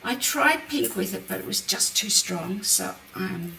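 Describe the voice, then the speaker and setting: A woman hosting a card-making demonstration, chatting through each step with a croaky voice. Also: medium pitch, lively intonation, a little slow, bright, forceful tone. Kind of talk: casual craft demonstration. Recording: roomy, mic at a distance, faint background noise. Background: birds outside.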